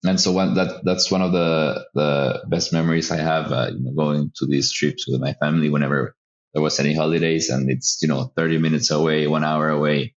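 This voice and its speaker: A man from El Salvador, a podcast guest answering in a relaxed, hesitant way with many fillers. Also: very deep voice, fairly quick, dull audio. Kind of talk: casual interview answers.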